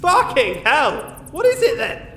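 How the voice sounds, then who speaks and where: An exasperated jailer played by a man in a comic fantasy audio drama. The voice is high and swings up and down as he fussily tries to keep order, heard in a roomy echo. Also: huge pitch swings, takes it slowly, fairly bright tone, recorded from a distance, heavily acted. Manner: hesitant, fussy, sweary. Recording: roomy echo, off-mic, faint background noise.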